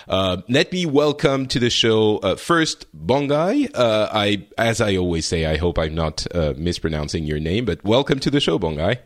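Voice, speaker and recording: A man hosting a chat podcast with a fairly low voice, speaking hesitantly and padding his sentences with filler sounds. The recording is dry and close, with no room echo. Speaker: podcast host.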